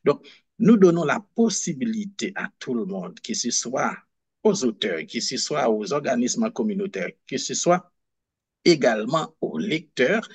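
A man speaking on a video call, a guest in an interview, explaining at a deliberate pace and repeating words as he searches for them. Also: medium pitch, breathy edge, no echo. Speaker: guest, book fair director. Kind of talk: conversational interview answer.

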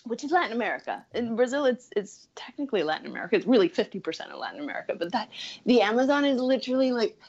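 Woman, 30s, from Chile, somewhat high voice, animated, casual podcast guest, muffled lo-fi sound. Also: very fast, breathy edge. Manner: animated, laughs often, many "like" fillers. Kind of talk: casual interview chat.

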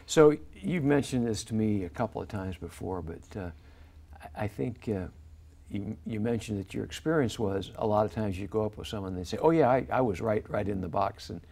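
An older man hosting a studio interview, introducing a guest and asking measured questions on a lapel mic in a small TV studio. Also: lowish voice, vocal fry, warm tone. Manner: friendly, measured questioning with fillers. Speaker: host.

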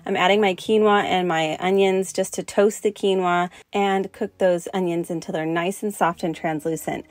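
A young woman narrates a home cooking demonstration in an easygoing voice-over. Her voice sits a little low for a woman, and she walks through each step in a friendly, instructive way. The sound is close and clean. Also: fairly even intonation, moderate pace, no room sound. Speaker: cooking channel host.

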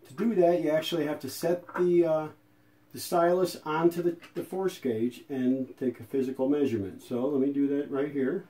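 A man casually narrating a hands-on demonstration as host, folksy and unhurried, thinking aloud as he goes. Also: on the high side, fairly even intonation, mellow. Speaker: video host and radio restorer.

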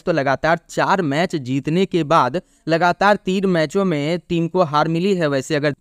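A man talks through a cricket preview in a smooth, clear voice, a touch higher than most men. He reads score after score at an even clip, now and then stumbling and restarting a word. The sound is close and dry, with no room around it. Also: no echo. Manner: steady stat-reading with brief restarts. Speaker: cricket analyst. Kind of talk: pitch report and match preview.

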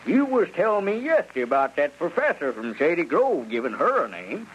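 An actor playing a boastful, smooth-talking country squire in a radio comedy, pompous and given to hemming and showman talk. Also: a little high, very animated, brisk. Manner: pompous, hesitant hemming, then grandiose showman. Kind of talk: 1930s-40s radio comedy acting. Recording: muffled, noisy vintage radio recording.

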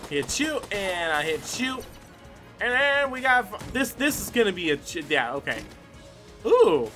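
A high-voiced man hosts a gameplay video with casual, excited commentary. His delivery is bright and swings up and down, full of exclamations and swearing aimed at himself and the game. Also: unhurried. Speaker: gaming video host. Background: game music.

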